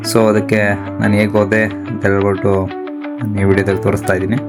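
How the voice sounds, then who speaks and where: A man's voice: lowish voice, flat delivery, quick pace. A man from Karnataka, India, narrating a casual vlog in a chatty, informal way, addressing his viewers. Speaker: vlogger. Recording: loud music over voice. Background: loud music.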